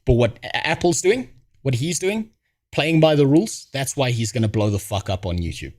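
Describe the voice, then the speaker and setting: A male streamer ranting in a blunt, swear-heavy way, rambling from one point to the next. His voice sits at an ordinary middle pitch. He talks like he's reacting live to whatever's on screen. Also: animated, moderate pace, darkish tone. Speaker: streamer host.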